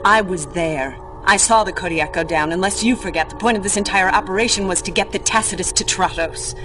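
A woman in her thirties playing an earnest, forceful scientist in a video game cutscene, serious and insistent. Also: fairly low pitch, brisk, music in the background, close to an everyday voice. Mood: accusing.

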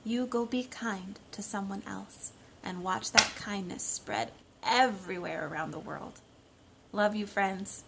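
Woman, 30s, children's storytime teacher, mid-pitched and expressive, simple phrasing, homemade phone recording with light noise. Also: measured pace, vocal fry. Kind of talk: storytime read-aloud and talk to young children. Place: living room at home, indoors. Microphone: phone at about arm's length.

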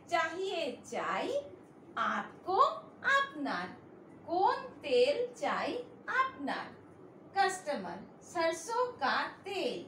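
A middle-aged woman teaching a language lesson at a whiteboard, slowly repeating each phrase for her learners. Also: high voice, roomy sound, slight background noise. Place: indoor room in front of a whiteboard.

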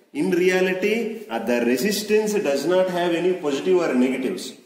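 A young man gives a deliberate, repetitive instructional demonstration as a lab instructor, recorded at a distance in a very echoey hall. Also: a little high, a little mellow. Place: large indoor lab room with hard surfaces.

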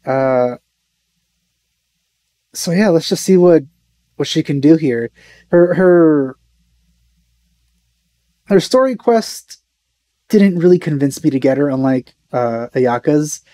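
A man's voice: fairly high pitch, expressive, slow pace, long pauses, mellow, no room sound. A young man giving casual, understated reaction commentary as a video host, musing and hesitant as he goes. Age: young adult.

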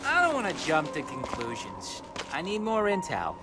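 A cocky young tech-geek agent, pitched fairly high and swinging eagerly in tone. He talks theatrically, like a game character, with music clearly behind him. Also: wildly swooping pitch, normal speed. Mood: confident, musing. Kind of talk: video game cutscene acting.

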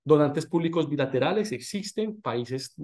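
A man in his thirties giving a recorded lecture over slides through a webcam, speaking in a measured way with frequent restarts and fillers. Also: on the high side, expressive, darkish tone.

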